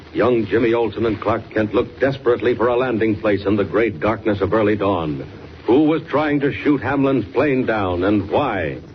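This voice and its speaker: A man narrating as the announcer of a 1940s radio serial in a suspenseful, declamatory style. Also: lowish voice. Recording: slightly noisy, muffled old radio recording.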